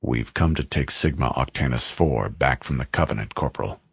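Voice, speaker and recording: A man reading an audiobook, voicing a calm, imposing male armored supersoldier who speaks in a low, clipped way. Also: moderate pace, vocal fry, slightly rough, no echo, heavily acted.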